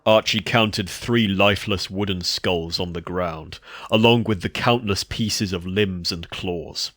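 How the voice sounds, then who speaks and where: A man's voice: low voice, unhurried, fairly bright tone, dry recording. A man reading scripted story narration as the narrator, recorded on a close mic.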